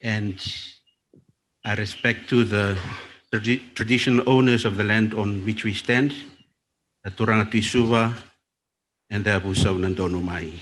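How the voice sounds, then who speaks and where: A man from Fiji facilitating a panel discussion at a lectern, speaking in a measured, deliberate way with small restarts. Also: lowish voice, fairly even intonation, fairly bright tone. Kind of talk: formal event moderation. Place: conference hall. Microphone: lectern microphone.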